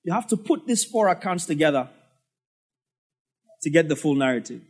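A man's voice: fairly high pitch, sing-song, moderate pace, darkish tone. A man with a Nigerian English accent preaching a sermon as a pastor, telling the story in a conversational way with asides.

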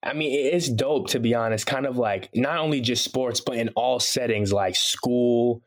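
A man's voice: medium pitch, moderate pace. A young man from Haiti who grew up in Boston, with an American accent, chatting casually as a podcast guest, laughing often and using lots of fillers. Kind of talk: casual interview chat.

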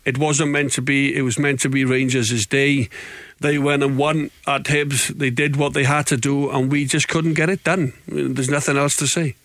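A middle-aged Welsh man, a former footballer, telling stories in relaxed radio chat. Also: mid-range voice, normal speed, no room sound. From Wales. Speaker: football pundit, former player.

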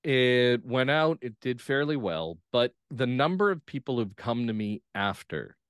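A man speaking as an interview guest, a playwright reflecting in an earnest, measured way. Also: mid-range voice, big pitch swings, smooth, no room sound, clean studio sound.

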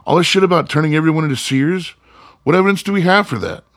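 A man's voice: fairly high pitch, fast talker, fairly bright tone, no room sound, studio quality. A man playing a tense, skeptical grown son in a radio play, talking in a blunt, argumentative, evasive way. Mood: frustrated.

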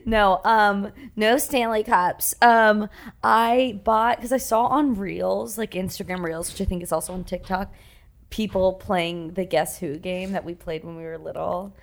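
A woman's voice: medium pitch, steady tone, measured pace, gravelly. A woman co-hosting a podcast, chatting casually in a bubbly, rambling way. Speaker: podcast co-host. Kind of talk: casual chat.

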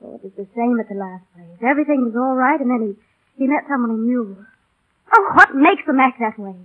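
A desperate woman full of hurt and mistrust. Her voice is high with a rough, breathy edge. The melodramatic scene comes through a narrow, vintage radio recording. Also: expressive, fairly quick. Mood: pleading. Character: desperate, pleading, distrustful wife, emotionally wounded. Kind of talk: 1930s-40s radio melodrama acting.

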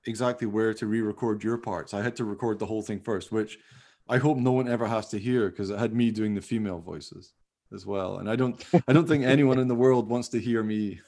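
A man with a fairly low voice talks casually as a podcast co-host. He rambles and pokes fun at himself, keeping things informal. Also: fairly quick, warm tone. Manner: rambling, self-deprecating, jokey. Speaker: podcast co-host, radio play writer. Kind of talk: casual behind-the-scenes chat.

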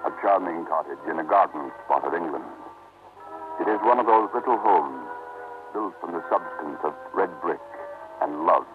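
Portentous deep radio horror announcer, music behind. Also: male voice. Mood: florid, storybook. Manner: portentous, dramatic, deliberate. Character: ominous, florid announcer introducing a horror mystery. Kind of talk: old-time radio horror narration. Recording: tinny vintage radio recording. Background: dramatic music.

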